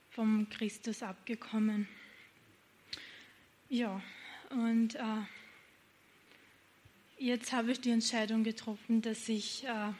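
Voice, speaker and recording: Young woman, slow and flat delivery, faint room noise, testimony. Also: a little high. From Ukraine, raised in Austria. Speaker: baptism candidate. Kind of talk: personal testimony.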